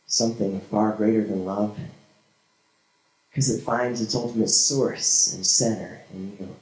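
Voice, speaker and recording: A young man performing spoken word poetry on a small church stage with a handheld mic, dramatic and swinging from hushed to shouted. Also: medium pitch. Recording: echoey room from a far camera.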